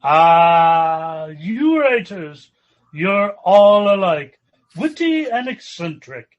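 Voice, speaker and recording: A man's voice: sits high, sing-song, highs cut off, full character voice. A man playing a polite, formal upper-class dinner guest in an old-time radio sitcom style. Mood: amused admiration. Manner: courteous, formal, unhurried.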